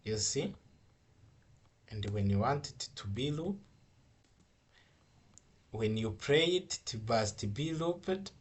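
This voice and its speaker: Slow, slightly husky man teaching step by step. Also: medium pitch, lively intonation. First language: not English. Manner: repetitive, halting, step-by-step explaining. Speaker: coding tutorial instructor. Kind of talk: informal screen-recorded software tutorial.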